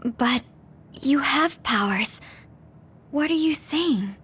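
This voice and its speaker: A woman's voice: high-pitched, expressive, slow, fairly bright tone, full character voice. An actress playing a caring, anxious young female teammate in an anime game, tender and worried in manner. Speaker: video game voice actor. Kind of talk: anime game cutscene dialogue.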